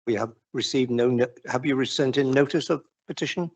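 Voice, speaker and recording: A man's voice: mid-range voice, a little fast, breathy edge, dull audio. A man chairing a council committee meeting, informal and stumbling over his words.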